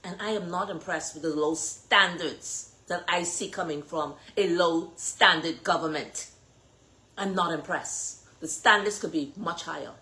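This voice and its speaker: A woman's voice: on the low side, measured pace, pushed and bright, poor audio. A woman with a Bahamian accent speaking as a political candidate in an impassioned address, emphatic and challenging, firing off rhetorical questions.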